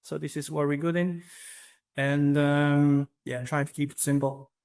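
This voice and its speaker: A middle-aged man from Belgium speaks with a Flemish accent, Dutch being his first language. His voice sits a little higher than most men's. He answers questions in a loose, halting way, full of fillers and restarted phrases. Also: level tone, darkish tone, smooth. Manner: hesitant, many ums and restarts. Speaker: real estate agency CEO. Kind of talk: casual interview answers.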